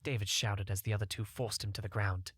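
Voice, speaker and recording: A man's voice: fairly low pitch, fairly even intonation, a little fast, fairly bright tone, breathy edge, no echo. A man narrating a first-person fantasy audiobook in a scripted storytelling style.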